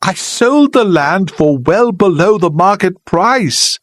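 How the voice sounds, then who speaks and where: A man's voice: on the high side, wildly swooping pitch, unhurried, fairly bright tone, no echo, clean studio sound, voice pushed up, full character voice. A man doing an apologetic older southern English lady. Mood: earnest, pleading. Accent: southern English middle-class. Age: 60s. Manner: earnest, pleading. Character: older village woman, flustered and apologetic.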